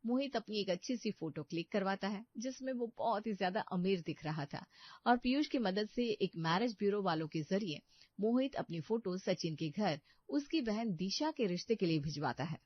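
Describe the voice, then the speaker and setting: A woman's voice: mid-range voice, expressive, normal speed, dry recording. A woman reading scripted narration for a cartoon story. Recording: muffled dry close recording.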